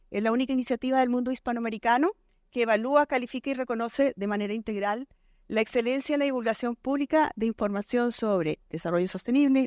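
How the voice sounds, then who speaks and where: A woman's voice: fairly high pitch, a little mellow, no room sound, clean studio sound. Colombian woman moderating a conference panel. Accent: Colombian, softened by years in Chile. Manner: hesitant, many fillers and restarts. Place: conference stage.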